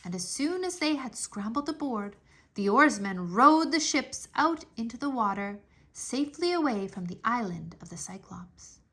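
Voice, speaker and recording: A woman reading a scripted story aloud for children in an expressive, deliberate storytelling manner. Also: fairly high pitch. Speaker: storybook narrator, English teacher.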